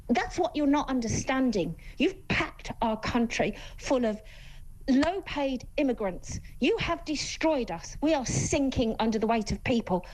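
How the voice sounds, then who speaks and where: A woman phoning in to a talk radio show, shouting angrily and indignantly at two guests. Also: high-pitched, rough recording. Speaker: caller. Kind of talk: angry phone-in rant.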